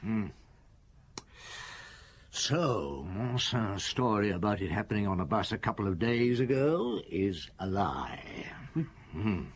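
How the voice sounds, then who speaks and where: An actor playing a seasoned, middle-aged police detective chief in a radio drama, measured and patient, pausing with thoughtful hums. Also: male voice, on the low side, sing-song, slight background noise, heavily acted. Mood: probing, musing. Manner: musing, with thoughtful hums and pauses.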